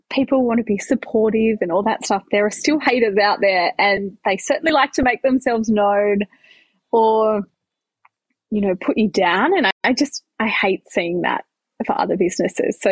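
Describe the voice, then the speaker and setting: An upbeat, chatty woman talking as a guest on a casual podcast. Her voice is a little husky and breathy. The sound is dry and close, with no room echo. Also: mid-range voice, animated, normal speed. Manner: chatty, upbeat, filler-heavy. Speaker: podcast guest, small business owner.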